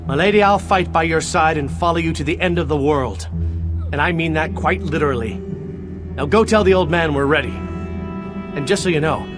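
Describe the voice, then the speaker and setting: A man plays a cocky young fantasy warrior in a video game, brave and full of wry wisecracks. His voice is a little high for a man and has a jaunty swagger, with music clearly behind it. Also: brisk, lightly acted. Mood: earnest, jaunty. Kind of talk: scripted fantasy game dialogue.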